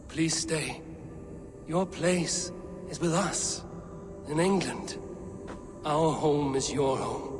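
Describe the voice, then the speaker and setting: Slow-spoken, earnest Viking warrior. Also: male voice, on the high side. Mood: pleading. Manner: solemn, deliberate. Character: loyal young adult Viking warrior, earnest, steadfast sibling. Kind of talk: dramatic game cutscene acting. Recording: clear music behind the voice.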